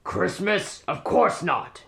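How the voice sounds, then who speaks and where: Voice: medium pitch, big pitch swings, takes it slowly, gravelly, fairly bright tone, heavily acted. A performer voicing a grumpy, miserly old cat businessman in a comic radio play, snappish and scornful. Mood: scornful, irritated.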